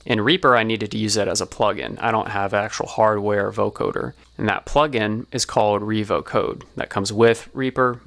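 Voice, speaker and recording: A man's voice: lowish voice, fairly even intonation, moderate pace, creaky, hardly any pauses, fairly bright tone. A man giving an explanatory tutorial as a sound designer, talking through it step by step.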